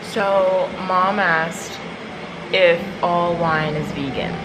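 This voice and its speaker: A woman's voice: on the low side, steady tone, very slow, noisy background. A young woman hosting a food vlog, chatting to viewers while holding the camera at arm's length in a restaurant. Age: young adult. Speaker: vlog host. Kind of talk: casual food vlog chat. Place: indoor restaurant. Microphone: handheld camera at arm's length.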